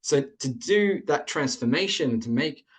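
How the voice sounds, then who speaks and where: A man's voice: a little high, lively intonation, normal speed, slightly rough. A man who grew up in Australia, presenting slides on a webinar over a computer mic, explaining points in an earnest way and stacking them in lists.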